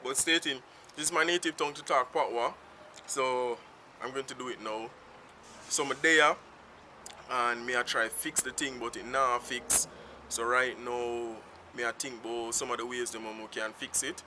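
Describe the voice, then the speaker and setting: Bright-voiced Jamaican man vlogging. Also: mid-range voice, fairly even intonation, moderate pace. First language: Jamaican Patois. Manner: rambling, unscripted, free-flowing. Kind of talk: casual talk to camera. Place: outdoors in town, in the sun. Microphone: self-filmed camera. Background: faint street traffic.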